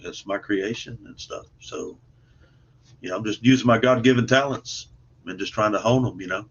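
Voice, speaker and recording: A man's voice: on the low side, normal speed, husky. A man chatting casually as an interview guest, modest and folksy, often repeating words as he goes. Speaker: guest comic book creator. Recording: dull lo-fi call audio.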